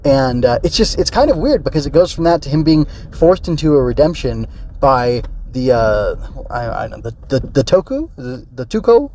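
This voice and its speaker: A man's voice: medium pitch, very animated, normal speed, darkish tone, slight background noise. A man hosting a casual solo review podcast, rambling and thinking aloud with tentative digressions. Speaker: podcast host and film reviewer.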